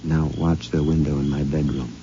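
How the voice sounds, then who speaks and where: An actor playing a sly, self-satisfied husband in a 1950s radio drama, talking in a conspiratorial, amused way, on a dull old recording with faint hiss. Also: male voice, a bass voice, flat delivery, moderate pace, warm tone. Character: scheming middle-aged husband, smug and sly.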